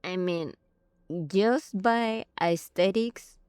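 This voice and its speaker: A woman giving casual commentary on a game playthrough, thinking aloud in a halting way. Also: medium pitch, a little mellow, smooth, no room sound. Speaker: gaming streamer. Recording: game music behind the voice.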